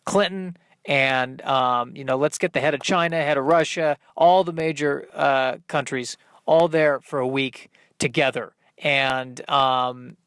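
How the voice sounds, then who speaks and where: A man hosting a solo comedy podcast, rambling and thinking aloud in a halting, self-deprecating way. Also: medium pitch, fairly even intonation, a bit bright, smooth, no room sound, studio quality. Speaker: podcast host working out stand-up comedy bits.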